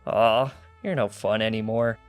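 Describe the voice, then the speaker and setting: A man's voice: fairly low pitch, fairly even intonation, unhurried, faint music behind, close to an everyday voice. A man voicing a cocky, smug pony thief, boastful and teasing, in a fan fiction story reading. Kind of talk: fan fiction character dialogue.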